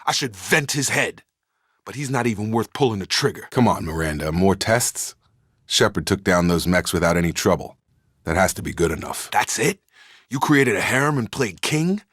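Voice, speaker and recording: Deep-voiced, no-nonsense space soldier. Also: male voice, fairly bright tone, no room sound, clean studio sound. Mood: stern, urgent, then earnest, reserved. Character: steady, no-nonsense male space soldier, loyal and guarded. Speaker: video game voice actor. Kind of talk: video game character dialogue.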